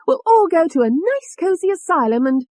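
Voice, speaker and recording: Audiobook villain: a high-pitched, sneering young woman, volatile and furious, swooping wildly. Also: big pitch swings, normal speed, no echo. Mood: sneering boast. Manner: sneering, taunting, explosive. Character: furious, taunting young British woman, a murderous alter personality.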